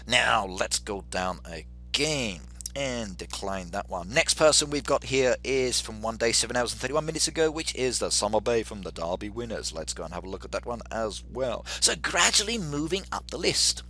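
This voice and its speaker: A man's voice: medium pitch, very animated, moderate pace, few pauses, bright, forceful tone, a little hiss or hum. A middle-aged man with an English accent chatting through a live game stream and reading out viewer comments. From England.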